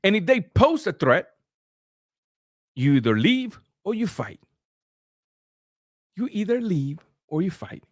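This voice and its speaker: A man's voice: high-pitched, sing-song, slow, long pauses, no room sound, clean studio sound. Casual Spanish-speaking man streaming boxing talk. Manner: loose, conversational, with frequent restarts and filler sounds. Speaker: boxing channel host. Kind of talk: live-stream commentary, reading super chats.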